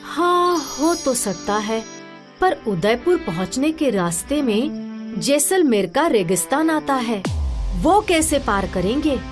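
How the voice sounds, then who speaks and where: A doubtful, easygoing village boy in a cartoon story for children, voiced by a woman. The voice is high and swings widely in pitch, over a music bed. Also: very animated, takes it slowly, heavily acted. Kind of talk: animated children's story dialogue.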